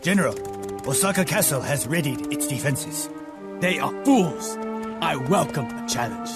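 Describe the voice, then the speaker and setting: Gravelly, higher-pitched samurai warrior. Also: male voice, lively intonation, normal speed, over loud music. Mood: bold, scornful. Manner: blunt, intense. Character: defiant samurai soldier or rival general. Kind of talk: dramatic game cutscene acting.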